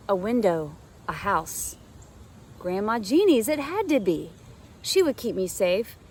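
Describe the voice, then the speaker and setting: A woman's voice: medium pitch, slight background noise. A woman reading a children's novel aloud like a teacher, with dramatic, suspenseful expression. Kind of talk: expressive storybook read-aloud.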